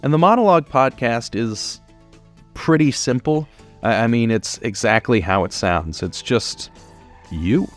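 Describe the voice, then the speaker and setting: A man's voice: medium pitch, animated, a little slow, soft background music. A man in his twenties giving a casual, unscripted explainer in an informal, conversational way, as a podcast producer guiding beginners. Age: 20s.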